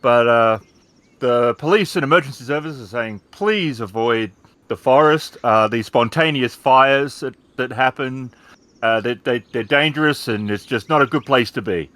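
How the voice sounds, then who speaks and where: Guy doing a tv news field reporter, pitched up high, earnest but kinda rambling and halting, dry close sound. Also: fairly bright tone, no echo, natural voice. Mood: earnest, hesitant. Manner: halting, hedging delivery.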